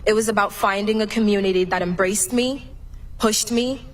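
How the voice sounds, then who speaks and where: A young woman from Cameroon who is her class president, giving an earnest, measured graduation speech read from a prepared text. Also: medium pitch. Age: young adult. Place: large graduation venue.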